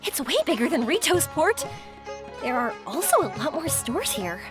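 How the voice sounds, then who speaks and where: An actress playing a cheerful, excitable young fireworks maker in an anime-style video game, chatty and eager. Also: female voice, high-pitched, normal speed, over music. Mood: awed, excited.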